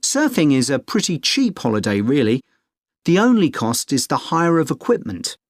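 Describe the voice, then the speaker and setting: Lively voice explaining a surfing trip. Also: mid-range voice, normal speed, no room sound. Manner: friendly, explanatory. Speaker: surfing adviser in dialogue. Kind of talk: scripted exam dialogue. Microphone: studio microphone, dry.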